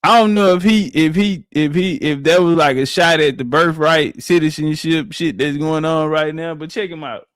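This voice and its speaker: A man talks over a clip in a brash, slangy, mocking way, aiming his remarks at his viewers. His voice sits high for a man and sounds close and dry, with no room around it. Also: moderate pace, hardly any pauses, a bit bright, no echo. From Southern United States. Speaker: reaction commentator. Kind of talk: casual profane reaction commentary.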